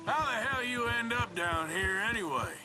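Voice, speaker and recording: An actor playing a tough, wry Western outlaw with a gruff, folksy American drawl in a video game cutscene. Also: male voice, very high voice, expressive, moderate pace. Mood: sardonic. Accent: American, rural Western drawl. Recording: music behind the voice.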